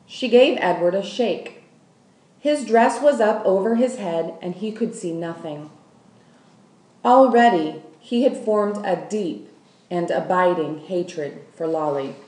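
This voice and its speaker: A woman's voice: mid-range voice, slow pace, darkish tone, far from the mic. A woman reading a children's story aloud as scripted narration. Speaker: school staff member reading aloud. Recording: echoey room.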